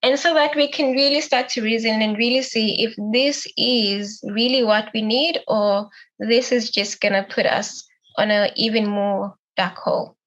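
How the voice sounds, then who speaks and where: A woman's voice: on the high side, steady tone, a little slow, fairly bright tone, dull audio. A young woman moderating an online panel in a casual way, full of likes and you-knows. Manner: casual, many fillers of like and you know. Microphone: video call.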